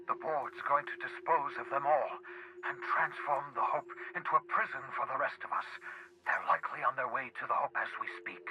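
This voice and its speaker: A man's voice: a little high, close to an everyday voice, poor audio. Man acting an eccentric scientist, wildly swooping voice. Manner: theatrical, erratic, swinging from mutters to outbursts. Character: eccentric, excitable renegade male scientist, passionate and indignant. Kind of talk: video game cutscene acting.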